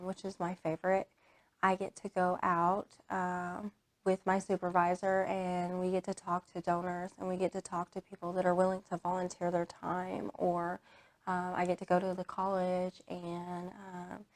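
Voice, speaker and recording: A woman's voice: on the low side, a little mellow. A young woman from Kansas answering interview questions about her volunteer service, candid and earnest, with many "um"s and "like"s. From Kansas, United States. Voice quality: many filler words and "like" restarts. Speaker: AmeriCorps VISTA member.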